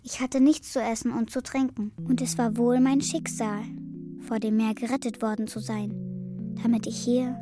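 A woman's voice: fairly high pitch, little pitch movement, moderate pace, over music, lightly acted. A female performer playing an earnest, matter-of-fact schoolgirl granddaughter in a children's radio play. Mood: absorbed, reading aloud. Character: earnest young schoolgirl, bright and eager granddaughter.

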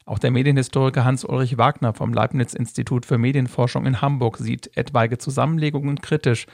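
Dry, creaky male radio narrator. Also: mid-range voice, fairly even intonation, a little mellow, dry recording. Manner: measured, factual. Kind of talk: scripted documentary narration.

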